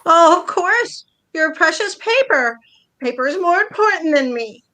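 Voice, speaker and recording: A woman's voice: very high-pitched, expressive, unhurried, bright, forceful tone, full character voice, poor audio. A woman playing a needy, touchy wife who nags dramatically in a staged play reading about domestic life. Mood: hurt, accusing. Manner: nagging, dramatic, aggrieved.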